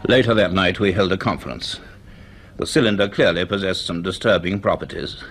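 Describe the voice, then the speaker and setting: A low-pitched, donnish man of science in a radio play. He speaks with measured deliberation and quiet authority, an inquisitive professor telling his tale. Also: soft background music. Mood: reflective, uneasy. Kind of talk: radio drama acting and reflective first-person narration.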